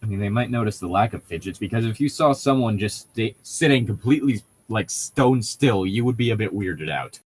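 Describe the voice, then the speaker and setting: A man's voice: fairly low pitch, lively intonation, a little fast, few pauses, breathy edge, no room sound. A man chatting casually as a co-host, explaining things in a rambling, nitpicky way. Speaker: podcast co-host reading and riffing on a novel. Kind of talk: casual banter and commentary.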